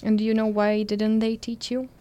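A woman interviewer asking polite, measured, multi-part questions. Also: fairly high pitch, level tone, warm tone.

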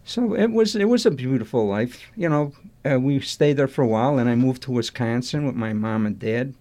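Older man, mellow dark voice, halting reminiscing interview answers. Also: mid-range voice, lively intonation. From Chicago, USA. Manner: halting, restarts sentences, warmly nostalgic. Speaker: interview guest.

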